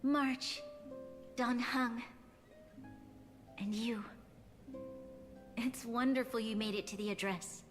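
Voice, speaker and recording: A woman playing a solemn, dignified young noblewoman leader in a game, speaking in a measured, formal, earnest way. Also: on the high side, steady tone, faint music behind. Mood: gentle, candid. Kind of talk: scripted game voice acting, formal oratory.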